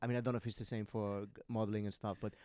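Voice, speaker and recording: A man chatting casually as a comedy podcast host, jokey and a little halting as he rephrases his thoughts. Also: on the low side, little pitch movement, a little mellow, slight background noise. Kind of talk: casual comedic interview chat.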